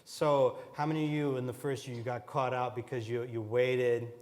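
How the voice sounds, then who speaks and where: A man's voice: mid-range voice, little pitch movement, moderate pace, darkish tone. A man moderating a university orientation panel, asking questions in an encouraging, casual way. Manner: encouraging, asks questions, uses "you know" and "right". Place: lecture hall. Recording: long hall reverb.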